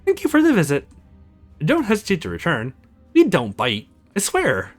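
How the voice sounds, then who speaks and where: A man voicing a dignified, wry, ancient vampire lord in a visual novel, speaking in a formal, measured way. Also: a little high, huge pitch swings, soft background music, pitched above the performer's own voice. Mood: gracious. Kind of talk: visual novel character voice read aloud.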